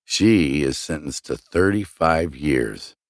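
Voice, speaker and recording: A man narrating a scripted true crime documentary as its host, with a measured, dramatic storytelling delivery. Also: a bass voice, lively intonation, no echo, studio quality. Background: background music.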